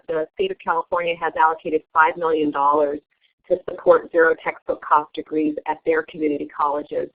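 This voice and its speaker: A woman hosting an online meeting, presenting with frequent fillers and restarts. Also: low voice. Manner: hesitant, many fillers. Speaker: webinar host. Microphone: web conference audio. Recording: tinny phone-like line.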